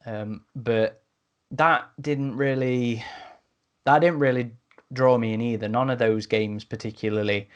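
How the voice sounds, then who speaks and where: A man in a casual podcast discussion as a host, speaking a little haltingly and repeating words as he goes. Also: medium pitch, fairly even intonation, clear, resonant tone, muffled recording.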